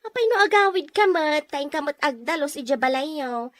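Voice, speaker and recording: A woman's voice: high voice, animated, moderate pace, fairly bright tone, no room sound, clean studio sound. A woman with an Ilocano accent playing a hardworking, house-proud, practical village neighbour in an audio drama, homely and matter-of-fact. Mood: busy, dismissive. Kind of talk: Filipino audio drama dialogue.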